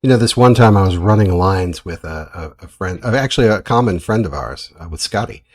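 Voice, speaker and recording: An American man with a husky voice, hosting a casual conversational interview. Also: deep voice, expressive, fairly quick. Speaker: podcast host.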